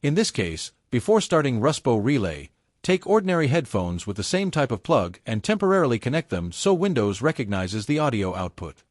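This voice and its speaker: A man reading a scripted technical tutorial step by step in a measured, methodical way. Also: medium pitch, very animated, dry recording, studio quality. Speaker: tutorial presenter.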